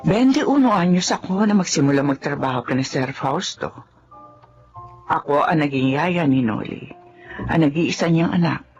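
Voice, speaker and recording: An actress playing Daleng, a widowed middle-aged housemaid who is loyal and patient, in a radio drama. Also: female voice, low voice, animated, moderate pace, muffled recording, music in the background. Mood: reflective.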